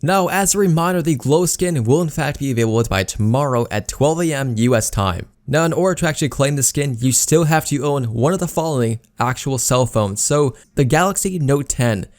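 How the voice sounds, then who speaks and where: A man's voice: mid-range voice, animated, brisk, hardly any pauses. A man hosting a gaming news video, explaining updates in YouTube commentary style with repeated emphasis words. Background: background music.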